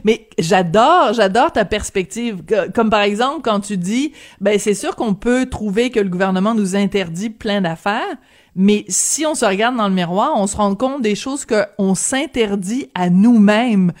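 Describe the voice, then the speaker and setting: A woman's voice: mid-range voice, lively intonation, unhurried. A woman with a Quebec French accent interviewing a guest, enthusiastic and affirming. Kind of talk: friendly interview.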